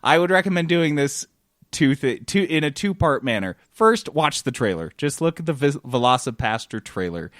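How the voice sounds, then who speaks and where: An American man co-hosting a podcast with casual, sweary comedic banter in an animated manner. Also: mid-range voice, no room sound, studio quality. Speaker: podcast co-host.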